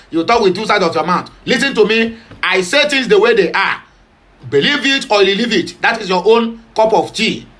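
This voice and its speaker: A man's voice: sits high, sharp tone. A man from southeastern Nigeria with a Nigerian Pidgin accent, giving passionate, opinionated news commentary. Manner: passionate, switching between Pidgin, English and Igbo.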